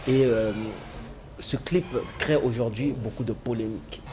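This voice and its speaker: A man hosting a TV interview, speaking in a halting way with many hesitations. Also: mid-range voice, fairly even intonation, noisy background. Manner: halting, many "euh" fillers. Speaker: TV show host.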